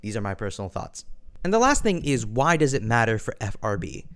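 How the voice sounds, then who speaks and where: A man giving an opinion monologue as an online video commentator, measured and hedging his points with frequent personal qualifiers. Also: on the low side, very animated, fairly bright tone.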